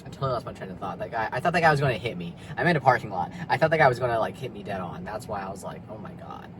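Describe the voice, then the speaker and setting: A man's voice: fairly low pitch, expressive, racing, background noise. A young man talking candidly in a personal vlog from his car in a parking lot, rambling and confessional, with lots of fillers and swearing. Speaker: vlogger.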